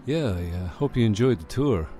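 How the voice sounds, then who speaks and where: Over music, a man acts a shy, evasive fairground fellow in a radio comedy. He mumbles and hesitates, dropping a little low at times. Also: animated, normal speed, dark tone, lightly acted. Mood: evasive, bashful. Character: bashful, evasive fun fair man with a secret. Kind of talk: comedy radio serial acting.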